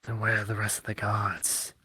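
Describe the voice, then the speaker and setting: A man's voice: fairly low pitch, completely flat delivery, moderate pace, sharp tone, breathy edge, no room sound. A man playing an inquisitive, practical townsman in a fantasy roleplay, politely skeptical and full of questions. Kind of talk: in-character virtual reality roleplay.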